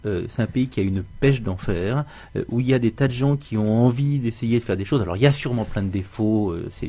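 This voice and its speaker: Mellow-voiced male radio guest. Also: fairly low pitch. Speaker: studio guest, company executive. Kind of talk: casual talk on a political radio show.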